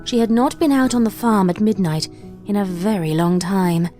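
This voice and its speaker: Brief: woman narrator, mid-pitched, even storytelling of a grim tale, faint music underneath. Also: normal speed. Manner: steady storytelling. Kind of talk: scripted narration of a horror fanfic.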